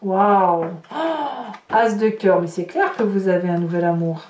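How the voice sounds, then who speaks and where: A woman's voice: fairly low pitch, steady tone, measured pace, a little mellow. A woman giving an informal tarot reading to viewers, chatting and thinking aloud as she handles the cards. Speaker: tarot reader and channel host. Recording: roomy, distant mic with light noise.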